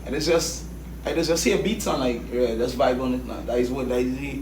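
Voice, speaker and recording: Man, Trinidadian accent, relaxed guest talk, roomy echo, distant mic, background noise. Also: mid-range voice, a little fast. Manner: laid-back, often says "you know what I mean". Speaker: hip hop artist guest. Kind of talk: casual interview.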